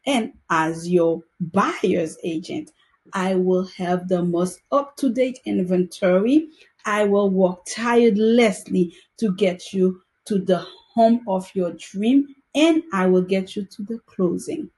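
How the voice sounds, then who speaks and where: A woman, a real estate agent giving an earnest, scripted pitch for her services. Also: darkish tone. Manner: slow, deliberate, earnest delivery. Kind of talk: scripted self-promotional pitch.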